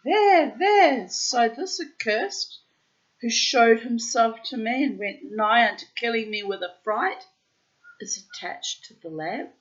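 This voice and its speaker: A woman's voice: fairly high pitch, lively intonation, a little slow, fairly bright tone, dull audio, voice pushed up. A woman reading the lines of a fearful old mother pleading with her son, in archaic storybook speech. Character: fearful old mother of a poor young man.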